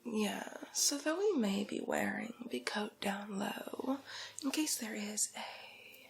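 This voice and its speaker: A woman playing a haughty, vain, condescending rich villainess in a whispered ASMR roleplay, with a breathy, creaky voice and drawn-out, patronizing delivery. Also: medium pitch, animated, soft background music, exaggerated voice. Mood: vain, indulgent. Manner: slow, drawn-out, theatrical, patronizing. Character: haughty, vain, condescending wealthy villainess, fur-loving fashion snob.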